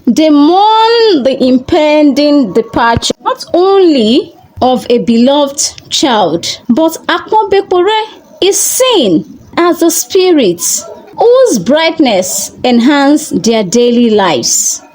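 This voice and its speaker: A woman tells a folktale from a script in a high, animated voice. She moves slowly and deliberately, breaking sentences into short measured phrases. Her intonation rises and falls widely. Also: poor audio. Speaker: storyteller.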